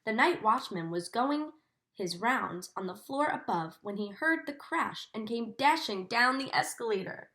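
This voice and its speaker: A woman teacher reading a storybook aloud expressively, in a deliberate, instructive manner. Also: fairly high pitch, expressive, a bit bright. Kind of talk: expressive storybook read-aloud with comprehension questions.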